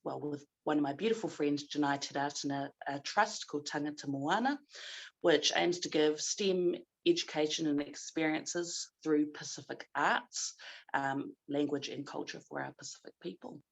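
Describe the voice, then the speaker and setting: Nz woman, low voice, chill and thoughtful, on a video call panel. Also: normal speed, gravelly. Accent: New Zealand. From New Zealand. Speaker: multimedia artist and panelist. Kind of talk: casual self-introduction and discussion.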